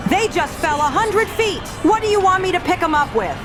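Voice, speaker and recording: Woman playing a very high-voiced, sardonic policewoman. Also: expressive, a little fast. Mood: exasperated. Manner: blunt, sarcastic. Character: sardonic, exasperated female police officer. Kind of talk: motion comic voice acting. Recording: crowd and music behind.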